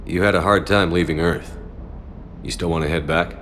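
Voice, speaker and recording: An actor playing a steady, authoritative military commander in a video game, speaking in a direct, clipped manner. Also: male voice, low voice, moderate pace, slight background noise. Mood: probing. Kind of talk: video game dialogue acting.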